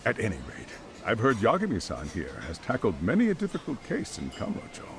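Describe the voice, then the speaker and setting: Gravelly, animated school chairman. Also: lowish voice, fairly quick. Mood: measured, formal. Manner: formal, polite, verbose. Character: polite, earnest, formal middle-aged male private school chairman. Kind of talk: video game cutscene acting.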